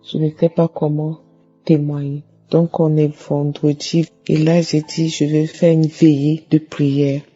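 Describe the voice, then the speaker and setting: A woman's voice: deep voice, flat delivery, measured pace, dark tone, husky, muffled recording, faint music behind. A woman giving a fervent testimony, repeating phrases and breaking into praise. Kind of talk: emotional spoken testimony.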